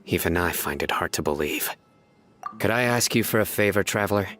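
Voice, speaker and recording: An actor playing a stern, analytical young male investigator in a video game, speaking in a measured, serious way. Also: low-pitched, animated, fairly bright tone. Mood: serious, deliberate. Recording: game music behind.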